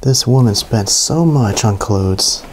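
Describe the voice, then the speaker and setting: A young man narrating a walkthrough of an abandoned mansion to a handheld camera, hushed and awed by what he finds. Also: fairly low pitch, lively intonation, slow pace, noisy background. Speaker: urban explorer vlogger. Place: inside a large abandoned mansion.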